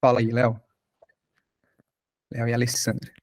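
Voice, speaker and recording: Brazilian guy teaching an online class, casual talk, mid pitch, dry sound. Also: monotone, normal speed, no echo. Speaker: podcast workshop teacher. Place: online video call.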